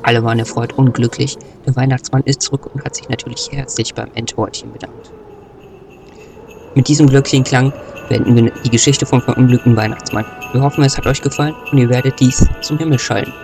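A man's voice: mid-range voice, steady tone, brisk, gravelly, music in the background. A man narrating a playful scripted Christmas story.

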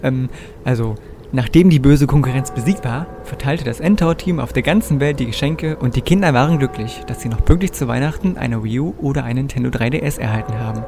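A man's voice: mid-range voice, fairly quick, gravelly, background noise. A man telling a playful scripted Christmas story.

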